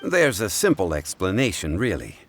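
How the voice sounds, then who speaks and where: Calm scholarly dude, really deep voice, measured and formal, music playing behind. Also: male voice, exaggerated voice. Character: calm, learned man, a consultant in history. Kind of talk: game voice acting. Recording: game soundtrack music behind.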